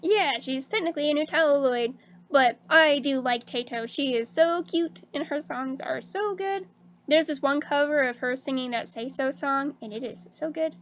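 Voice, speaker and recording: A woman streaming casually, chatting with viewers and laughing often, with a slightly hoarse voice. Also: sits high, moderate pace. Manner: bubbly and chatty, laughs often, many fillers like "um" and "like". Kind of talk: casual stream chat reading out viewer messages.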